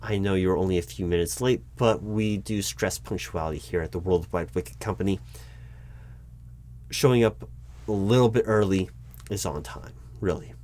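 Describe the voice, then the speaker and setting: Guy doing a job interview roleplay, lowish voice, dry and deliberate, lots of ums. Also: darkish tone. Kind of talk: scripted ASMR roleplay.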